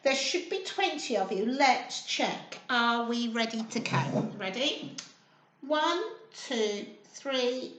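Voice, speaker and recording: A woman's voice: fairly high pitch, expressive, slow pace, a bit bright, a little hiss or hum. A woman reading a picture book expressively to young children, prompting them with simple questions. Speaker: children's storyteller. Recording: roomy, echoing indoor sound.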